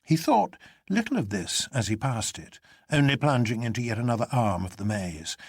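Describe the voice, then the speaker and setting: Male narrator, for classic fiction audiobook. Highly varied intonation, husky edge, measured dramatic delivery. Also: dry recording, clean studio sound. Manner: expressive, measured storytelling. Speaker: narrator reading a novel.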